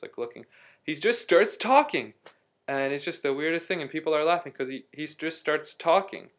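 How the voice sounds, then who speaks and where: Man, casual vlog diary, middle pitch, rambling, chatty, stops and restarts. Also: a bit bright. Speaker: vlogger. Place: living in Istanbul.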